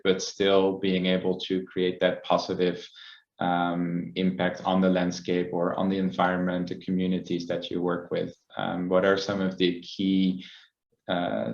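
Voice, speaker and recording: Very deep-voiced male moderator, echoey. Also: creaky. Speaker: webinar moderator. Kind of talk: informal panel moderation. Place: room, on online video call. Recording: echoey, dull online call audio.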